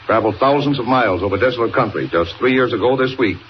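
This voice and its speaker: An actor playing a brisk, urgent newspaper boss in 1940s radio style, speaking with emphatic authority. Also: male voice, mid-range voice, natural voice. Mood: grave, urgent. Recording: muffled, noisy 1940 radio recording.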